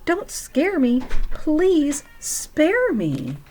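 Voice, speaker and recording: A woman's voice: mid-range voice, expressive, a little slow, dark tone, slight background noise, voice pushed up, close to an everyday voice. A woman voicing a fretful, sneezy male elephant who speaks in dramatic sing-song rhymes. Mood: panicked.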